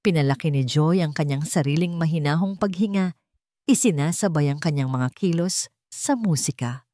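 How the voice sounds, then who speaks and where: Female narrator, Filipino accent, lowish register, dry studio sound, scripted drama storytelling. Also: normal speed, dark tone, no room sound.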